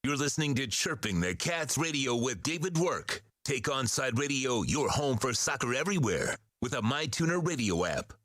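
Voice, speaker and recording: A male announcer reads a station identification with a lively, up-and-down voice. His pitch sits mid-range and his delivery is polished. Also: normal speed, few pauses, no echo. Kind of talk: station ID promo read.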